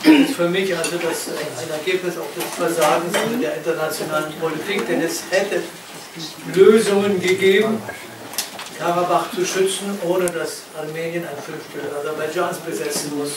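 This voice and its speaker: Older man, high-pitched, in echoey room. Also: moderate pace, far from the mic, noisy background. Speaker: host of a literary salon. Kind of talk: informal introduction and explanation to an audience. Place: crowded living room, indoors. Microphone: distant camera microphone. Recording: echoey crowded room, picked up from afar.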